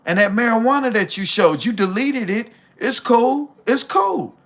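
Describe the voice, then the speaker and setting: A man's voice: very high voice, lively intonation, measured pace, a bit bright. A man, talking bluntly and preachily on a casual livestream, swearing freely and calling the listener "bro". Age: 40s. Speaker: livestream host.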